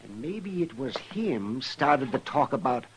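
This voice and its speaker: An American actor playing a crusty, fretful older town doctor, folksy and querulous, in a radio western. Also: male voice, medium pitch, sing-song, unhurried. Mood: fretful, indignant. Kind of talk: 1950s radio western acting. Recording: noisy vintage radio recording.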